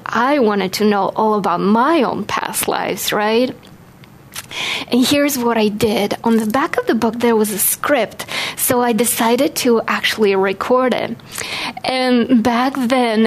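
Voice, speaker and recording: A woman with a Bulgarian accent giving a personal storytelling talk to an audience, speaking in an earnest, expressive way with emphasis on key words. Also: a little high. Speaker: guest speaker, past life regression practitioner.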